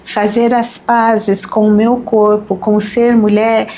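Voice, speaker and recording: Fifties woman hosting a faith podcast; higher pitch, talks things through aloud. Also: slow pace. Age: 50s. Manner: animated, reflective, many "né?" tags. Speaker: host.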